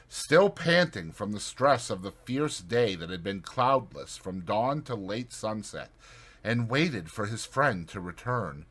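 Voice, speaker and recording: A man's voice: medium pitch, fairly bright tone. A man narrating a classic storybook in a dramatized literary reading style. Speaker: audiobook narrator.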